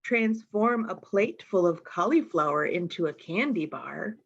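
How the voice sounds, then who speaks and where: A woman's voice: fairly low pitch, animated, measured pace, creaky, dull audio. A woman reading a picture book aloud to young children, expressively and addressing them directly with questions. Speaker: storytime reader.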